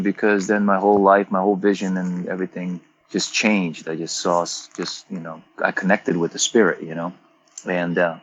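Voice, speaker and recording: A man's voice: low voice, dull audio. A man from Croatia, a rock singer in his fifties, telling stories from his youth in a casual interview and acting out what people said. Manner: animated storyteller, swearing, acting out quotes.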